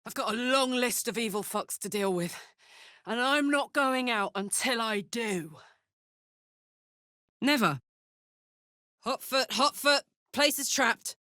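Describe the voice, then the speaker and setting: An actress voicing a blunt, battle-hardened but warm-hearted woman warrior in a video game. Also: on the high side, lively intonation, takes it slowly, pauses often, dry recording, studio quality, exaggerated voice. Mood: angry, defiant, then urgent. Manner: blunt, swearing, swinging between playful and intense. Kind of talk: video game character voice acting.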